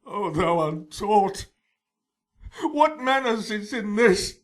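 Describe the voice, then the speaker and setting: A man's voice: high voice, animated, slow. An actor playing a sorrowful, bitter old nobleman and father in a Shakespearean radio drama.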